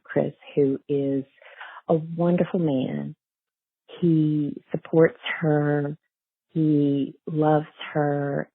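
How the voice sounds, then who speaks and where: A woman interviewed as an author, talking casually and thoughtfully with halting phrases and emphatic key words, on narrow muffled audio. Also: low voice, vocal fry, slightly rough, no echo. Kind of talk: casual conversational interview, explaining characters.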